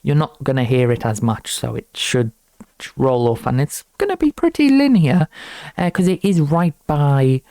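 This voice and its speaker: Young man, student developer type, relaxed and fry-heavy, talking viewers casually through a project, unscripted. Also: mid-range voice, normal speed, dry recording. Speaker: student game developer presenting own project. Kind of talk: casual unscripted tutorial walkthrough.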